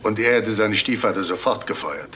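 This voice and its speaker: Businesslike lowish-voiced policeman. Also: fairly quick, pushed and bright, slight background noise. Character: brisk, businesslike male police colleague. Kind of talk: German crime radio drama acting.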